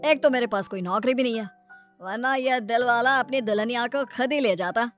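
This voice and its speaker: A woman's voice: on the high side, fairly quick, a bit bright, soft background music, lightly acted. A woman voicing a playful, filmi, romantic young man in a moral story cartoon, with a showy manner. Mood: worried.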